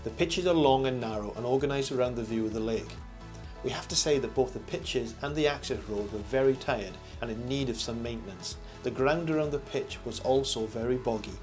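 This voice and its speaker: An older man reading a scripted review voice-over as host, in a measured, formal presenter manner. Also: medium pitch. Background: background music.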